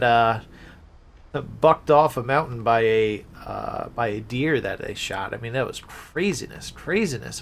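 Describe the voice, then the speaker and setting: A man doing casual livestream game commentary, musing aloud haltingly and breaking into exclamations. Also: mid-range voice. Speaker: game streamer.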